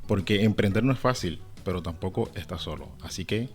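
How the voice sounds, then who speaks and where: A man's voice: deep voice, fairly quick. A man with a Caribbean Colombian accent from Cartagena, co-hosting a conversational podcast. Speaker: podcast co-host. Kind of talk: casual podcast chat with scripted intro.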